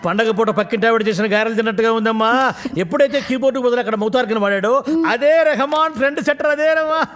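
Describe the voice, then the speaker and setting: Comic film blusterer, middle-aged, very high voice, boastful, cowardly, repetitive exclamations, loud music behind. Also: male voice, fairly even intonation, fast. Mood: jovial. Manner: theatrical, repeats words, exclaims. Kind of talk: Telugu film comedy acting.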